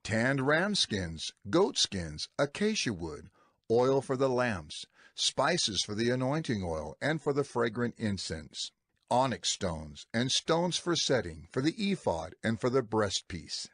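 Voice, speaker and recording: An actor's emphatic, solemn male scriptural voice, lively pitch. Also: slow, husky, no echo, clean studio sound, full character voice. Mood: solemn, instructive. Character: solemn male scriptural speaker giving firm, detailed instructions. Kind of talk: dramatized scripture reading.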